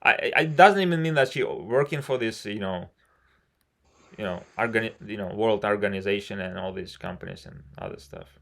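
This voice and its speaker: A man's voice: on the low side, brisk, creaky, a bit bright. A man from Russia speaking English with a Russian accent, as a non-native speaker chatting casually on a video call, frank and rambling with many fillers. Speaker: civil engineer. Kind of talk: casual conversation practice.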